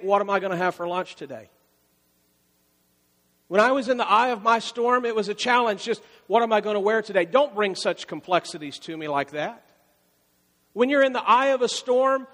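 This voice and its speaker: High-voiced male pastor in a reverberant hall. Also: fairly bright tone. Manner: conversational preaching with repeated phrases and emphatic rises. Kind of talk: sermon.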